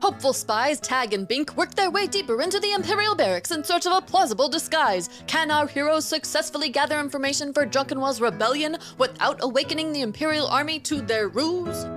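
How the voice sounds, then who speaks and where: A woman's voice: high voice, expressive, a little fast, few pauses, a bit bright. A woman reading a dramatic scripted recap as the narrator of a show. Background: plucked guitar music.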